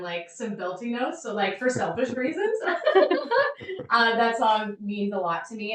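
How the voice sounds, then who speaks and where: A woman's voice: medium pitch, a bit bright. Chatty woman, echoey and far off. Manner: chatty, many "like"s, eager. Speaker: member of a women's shanty singing group. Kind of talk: casual interview chat.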